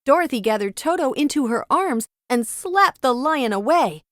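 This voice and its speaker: A woman narrating a read-along children's storybook with expressive, dramatic storytelling. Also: high voice, moderate pace, dry recording, clean studio sound.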